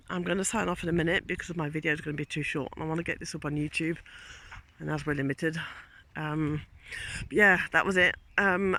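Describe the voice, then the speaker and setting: A man's voice: fairly high pitch, creaky, sharp tone, slight background noise. A man, a teacher, musing in a rambling, reflective way on a casual walk outdoors in the countryside, filmed on a handheld phone. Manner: rambling, reflective, loses train of thought. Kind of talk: casual vlog monologue. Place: outdoors in countryside while walking.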